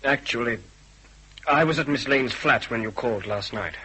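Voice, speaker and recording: A man's voice: medium pitch, normal speed, pushed and bright, a little hiss or hum, natural voice, rough recording. A man playing an anxious, well-bred British husband in a radio drama, formal and stiff as he justifies himself with some hesitation. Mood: stiff, defensive. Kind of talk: radio detective drama acting.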